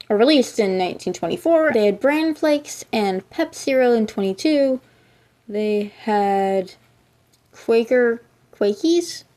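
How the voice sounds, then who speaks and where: A woman's voice: mid-range voice, mellow. A woman hosting a casual reaction video, chatting with wry asides as she reads from her sources. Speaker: video host.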